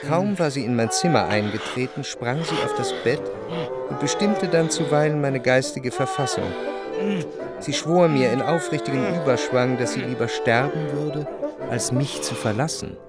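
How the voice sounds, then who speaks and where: An actor playing an introspective, analytical narrator in a literary radio drama, with a measured, reflective delivery. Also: male voice, medium pitch, over loud music, lightly acted. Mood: analytical, musing. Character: introspective, analytical male narrator looking back on love.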